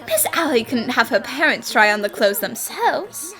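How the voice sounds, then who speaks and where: A woman playing a confident young female detective, methodically explaining deductions in an animated mystery fan dub. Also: a little high, moderate pace, music in the background. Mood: assured explaining.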